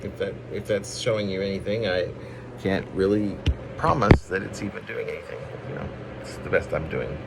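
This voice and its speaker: A man's voice: low voice, normal speed, vocal fry, over loud music, poor audio. A man reading his own poems in an informal, rambling way, with self-deprecating asides and playful drama. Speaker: poet reading own work.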